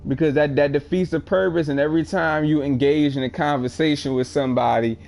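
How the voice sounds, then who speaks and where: A man's voice: fairly high pitch, fairly even intonation, brisk, vocal fry, a little mellow, faint music behind. Rambling man chatting on a livestream. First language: English. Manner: rambling, lots of "like" fillers. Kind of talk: casual chat while gaming.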